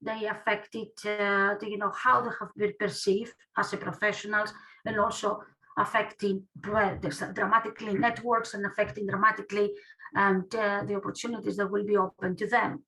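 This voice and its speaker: A woman from Greece with a Greek accent, an academic presenting online in a halting way, often tagging phrases with "okay". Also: medium pitch, little pitch movement, bright, forceful tone, poor audio. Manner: halting delivery, often punctuated with "okay" as a tag. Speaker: academic researcher, guest speaker.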